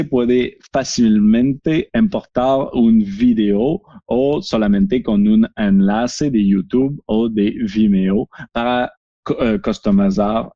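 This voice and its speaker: A French Canadian man speaking Spanish as a second language, with a Québécois French accent, presenting a software webinar in a halting way with many fillers. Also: mid-range voice, dry recording, dull audio. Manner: halting, frequent "eh" fillers and restarts. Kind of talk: product demo webinar.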